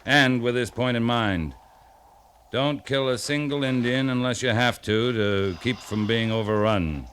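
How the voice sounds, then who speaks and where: An actor playing a seasoned, decisive American cavalry captain who gives clipped, authoritative orders in a 1950s radio western. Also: male voice, mid-range voice, a little slow, a bit bright, lightly acted. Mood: firm, methodical. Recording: noisy 1950s radio broadcast recording.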